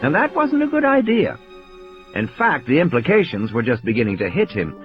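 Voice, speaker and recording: A man's voice: mid-range voice, huge pitch swings, normal speed, highs cut off, soft background music. A man reading a scripted, dramatic audiobook narration as a storyteller.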